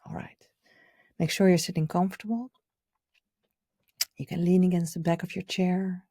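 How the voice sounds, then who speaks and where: A woman leading a guided meditation in a gentle, soothing, unhurried way, her soft voice often trailing off toward a whisper. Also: on the low side, fairly even intonation, no echo, clean studio sound. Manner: soothing, gentle, unhurried, with audible sighs and breathy pauses. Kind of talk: guided meditation, live session.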